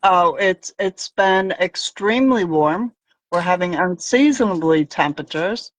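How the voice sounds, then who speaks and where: Slow-talking woman hosting a live chat. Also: on the low side, lively intonation, no echo. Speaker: host crafter.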